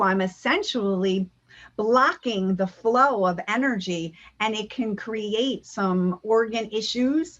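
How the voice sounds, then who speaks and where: Slow, earnest woman on a video call. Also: mid-range voice, fairly bright tone, highs cut off. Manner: earnest, many fillers, speaks of spiritual energy. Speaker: spiritual practitioner giving a talk. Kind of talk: online talk and Q&A.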